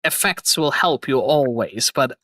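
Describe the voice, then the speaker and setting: A man in his twenties hosting a casual livestream chat, excitable and rambling, laughing often. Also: mid-range voice, a little slow, no echo, clean studio sound. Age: 20s. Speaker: host.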